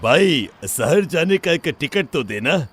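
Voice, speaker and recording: A man's voice: fairly high pitch, big pitch swings, takes it slowly, noisy background. A man playing an affectionate village father in a cartoon story, indulgent, teasing and reassuring. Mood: puzzled. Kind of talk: animated horror story acting.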